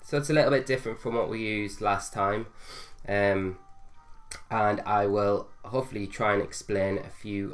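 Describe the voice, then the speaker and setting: A young man with a slightly nasal voice from a cold, casually commentating on his own gameplay and thinking aloud with fillers. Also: on the low side. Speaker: gaming video host.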